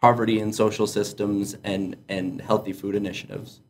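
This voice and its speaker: A man's voice: lowish voice, flat delivery, moderate pace, a little hiss or hum. A man hosting an interview podcast, asking questions and sharing his own stories in a casual, conversational way. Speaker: podcast host.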